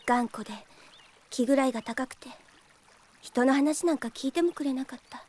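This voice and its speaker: A woman playing a gentle, polite, earnest young healer in a Japanese radio drama. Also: high-pitched, moderate pace. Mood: sad, reproachful. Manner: soft-hearted, polite, earnest. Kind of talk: Japanese anime-style radio drama acting.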